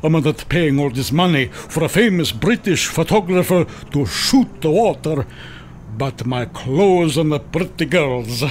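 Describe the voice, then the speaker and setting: An actor playing a vain, fussy Italian fashion designer with an Italian accent and a pompous manner in a radio play. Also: male voice, on the high side, animated, a little slow, slight background noise. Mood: self-important. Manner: pompous, self-important. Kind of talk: radio drama acting. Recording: loud music behind the voice.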